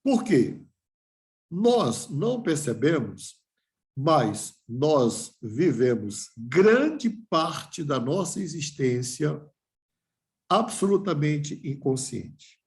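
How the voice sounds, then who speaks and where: A man's voice: a little high, big pitch swings, very slow, reverberant. An older man giving a reflective lecture to a class, asking himself questions and restarting phrases.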